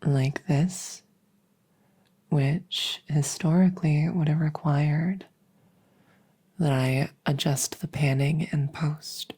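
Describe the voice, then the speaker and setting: Slow, low-voiced woman, soft ASMR delivery. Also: husky. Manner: gentle, drops into whispers. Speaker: audio roleplay creator. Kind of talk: soft-spoken ASMR introduction. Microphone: close stereo condenser mic.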